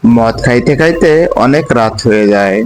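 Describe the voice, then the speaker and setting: Male horror storyteller, mid-pitched. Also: expressive, moderate pace, darkish tone. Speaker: storyteller narrator. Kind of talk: scripted horror story narration. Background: background music.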